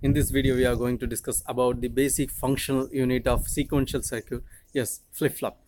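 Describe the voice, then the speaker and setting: A young man with a Tamil Indian accent teaches at mid pitch. He explains step by step like a lecturer, haltingly, repeating himself and often checking in with a short tag word. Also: little pitch movement, darkish tone. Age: 20s. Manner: halting, repetitive, frequently checking with "okay". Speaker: teacher, video channel host.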